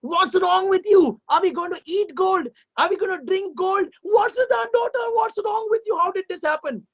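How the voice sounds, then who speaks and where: A man voicing a furious queen who scolds her husband in a shrill voice. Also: normal speed, a bit bright. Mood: scolding. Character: furious shrieking queen, adult woman. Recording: dull lo-fi recording.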